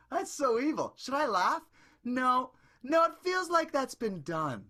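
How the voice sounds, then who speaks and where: A man's voice: very high voice, sing-song, takes it slowly, bright, forceful tone, exaggerated voice. A man voicing a rich, bumbling impresario who fancies himself a hypnotist, rambling smugly. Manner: rambling and self-satisfied. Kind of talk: improvised radio play.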